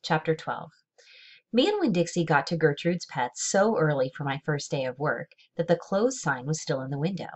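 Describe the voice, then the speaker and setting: A woman with a low voice reads a children's novel aloud. Her delivery is measured and expressive, with deliberate emphasis as she tells the story. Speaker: read-aloud reader. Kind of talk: expressive children's book narration.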